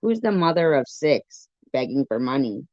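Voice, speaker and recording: A woman hosting a casual live stream, commenting and reacting in a deliberate, repetitive way. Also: extremely low pitch, sing-song, darkish tone, dry recording. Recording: dull lo-fi live stream audio.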